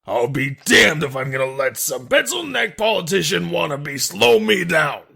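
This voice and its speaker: Raspy, grouchy police detective. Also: male voice, on the high side, big pitch swings, normal speed, full character voice. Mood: indignant. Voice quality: raspy, creaky, forceful. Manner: griping, slangy bluster. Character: loud, grouchy hard-boiled police detective. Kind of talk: comic dub voice acting.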